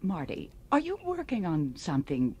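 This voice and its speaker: Low-voiced, prying society hostess. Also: female voice, wildly swooping pitch, moderate pace, darkish tone, muffled recording, a little hiss or hum. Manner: polite but pointed, controlling. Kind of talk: radio drama acting.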